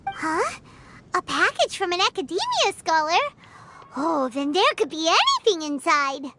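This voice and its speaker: A woman voicing a tiny, chirpy, curious fairy companion with a childlike, squeaky voice in a video game. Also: very animated, measured pace, very noisy, full character voice. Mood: puzzled, curious. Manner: exclamatory, chatty. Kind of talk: video game character acting. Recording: music playing behind the voice.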